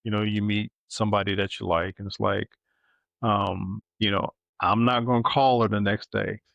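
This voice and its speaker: A middle-aged man co-hosting a podcast in casual, reflective conversation, thoughtful and halting, often checking in with a questioning tag. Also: lowish voice, level tone, vocal fry, fairly bright tone, dry recording, dull audio. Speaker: podcast co-host.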